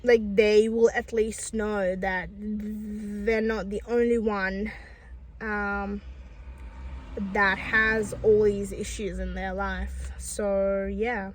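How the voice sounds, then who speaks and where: Woman vlogger who stutters, talking slowly and sincerely to camera. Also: mid-range voice, little pitch movement, a little hiss or hum, poor audio. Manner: stutters, with repeated sounds and restarts; earnest and reflective, many fillers. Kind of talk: personal vlog monologue.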